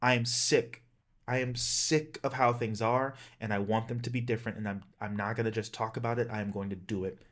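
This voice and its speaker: A man's voice: mid-range voice, fairly even intonation, a little fast, fairly bright tone. A man in his thirties giving an earnest, emphatic personal rallying speech as a vlogger, in plain-spoken language. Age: 30s. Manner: impassioned, emphatic, plain-spoken with occasional swearing.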